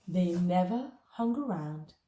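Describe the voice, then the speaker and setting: In an echoey room, a woman reads a picture book aloud for young children. Her pitch swings expressively, and she takes her time, with deliberate dramatic pauses. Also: expressive, warm tone. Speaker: storybook reader.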